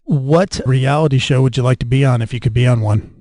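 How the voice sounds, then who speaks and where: A man's voice: medium pitch, fairly quick, warm tone, no room sound. An American man hosting a casual interview in a conversational style. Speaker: interviewer, podcast host.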